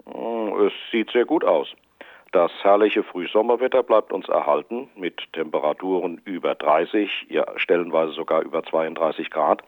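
A very deep-voiced, unruffled weather official in a radio drama. He has a dry wit. The sound is muffled and narrow, in a dry space. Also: normal speed, no room sound. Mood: calm, assured. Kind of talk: German radio drama acting.

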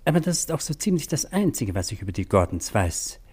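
Radio crime play: a worldly, talkative doctor, middle register, dry wit. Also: male voice, medium pitch, sing-song, brisk, a little mellow, slightly rough. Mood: chatty, wry. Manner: talkative, wry, slightly gossipy. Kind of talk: German radio crime drama acting.

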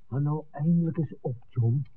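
An actor playing a calm, smitten male filmmaker in a 1960s radio drama. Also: a little high, moderate pace, slight background noise, close to an everyday voice. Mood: guarded. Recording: dull vintage 1969 radio recording.

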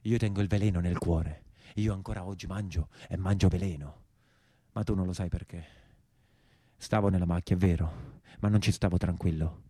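An actor playing an embittered imprisoned peasant brigand in a theatrical monologue, speaking emphatic, repetitive dialect with a southern Italian accent. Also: male voice, sits low, steady tone, moderate pace, mellow, husky, dry recording, clean studio sound. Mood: plaintive, embittered.

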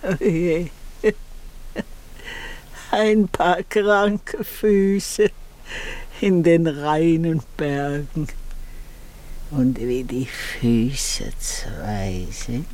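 An actress playing a devoted, plain-spoken old servant and nurse in a 1950s German radio play, slow and musing, often sinking to a murmur. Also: female voice, deep voice, a little mellow. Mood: weary, musing.

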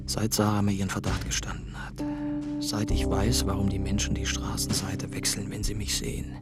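An actor playing a bewildered, troubled man suspected of murder, narrating in a halting, brooding and often hushed way in a radio drama. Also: on the low side, completely flat delivery, loud music behind the voice, close to an everyday voice. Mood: dawning dread. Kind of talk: radio drama first-person narration and scenes.

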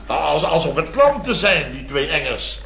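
Blustery male contractor, middle-aged, highish swooping delivery, rambling boaster in vintage radio comedy with dull sound. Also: big pitch swings, takes it slowly, vocal fry, bright, forceful tone, full character voice. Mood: exasperated grumbling. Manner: rambling, exclamatory, constant tag words. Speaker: comic lead actor. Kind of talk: 1970s radio comedy acting. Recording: dull old radio recording, roomy, audience laughter.

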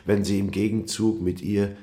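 A man's voice: fairly low pitch, level tone, moderate pace, mellow. A man giving a dramatic scripted literary narration as the story's narrator.

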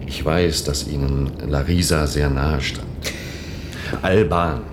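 An actor playing a protective, earnest helper in the underground in a German science-fiction radio drama, speaking with solicitous urgency. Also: male voice, extremely low pitch, steady tone, slow pace, noisy background. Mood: grave, concerned.

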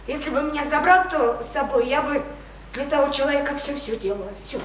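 A woman's voice: sits high, brisk, natural voice. An actress playing a naive, lonely provincial young woman in a radio play, plaintive and insistent like a child. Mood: dreamy yearning. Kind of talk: theatrical Russian radio play acting. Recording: roomy, distant stage sound, faint noise.

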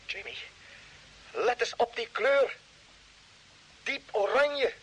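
A man playing an excited, awestruck Mars expedition commander in a vintage radio drama, repeating words urgently over a radio link. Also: very high voice, takes it slowly, a little hiss or hum, heavily acted, poor audio. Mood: intent.

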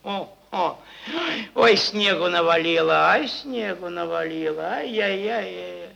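A man's voice: high voice, slow pace, gravelly, bright, forceful tone, dull audio, full character voice. An actor playing a crude, mocking merchant boss who rambles and repeats his words in a theatrical stage style. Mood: rambling musing. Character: crude, drunken, bullying male merchant boss, cynical and rambling. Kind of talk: theatrical stage acting, Russian classic prose.

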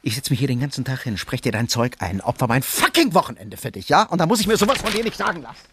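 A man's voice: mid-range voice, animated, moderate pace. A man playing an irritable, blunt, colloquial voice-over reader in a naturalistic radio drama. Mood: angry, fed up.

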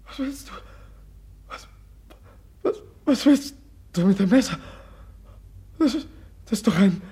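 A man's voice: high voice, lively intonation, dark tone, slightly rough, heavily acted. A man acting in a German radio drama as a fragile, confused, ailing young prince, anxious and stammering. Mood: anxious protest. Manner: halting, stammering, repeats words.

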